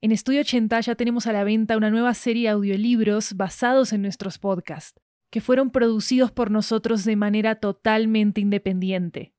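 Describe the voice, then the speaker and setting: An Argentine woman giving a scripted podcast announcement in a brisk, friendly, clear way. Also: mid-range voice, steady tone, a little mellow, no room sound, clean studio sound. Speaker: podcast host and narrator.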